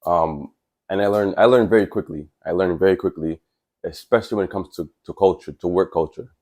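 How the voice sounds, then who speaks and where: A man's voice: sits low, brisk, a little mellow. A man who works as a welder, talking casually and frankly to camera, his voice stuffy from a cold. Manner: earnest, plainspoken and frank. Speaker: welder and tradesman vlogger.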